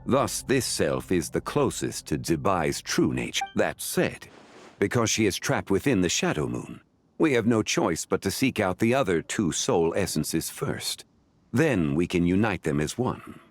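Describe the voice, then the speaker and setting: A man playing a calm, learned ancient sage in a fantasy video game, speaking formally and deliberately in measured phrases. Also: heavily acted. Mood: solemn, explanatory. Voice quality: deep, measured. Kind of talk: scripted video game dialogue.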